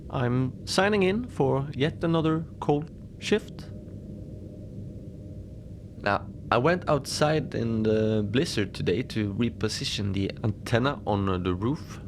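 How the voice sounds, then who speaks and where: A man's voice: mid-range voice, creaky, noisy background. A man playing an unflappable lone facility manager at an Antarctic station, rambling casually and wryly through a cozy horror audio diary. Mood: calm, wry. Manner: casual rambling, wry understatement, small chuckles. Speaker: host and narrator of a fiction podcast.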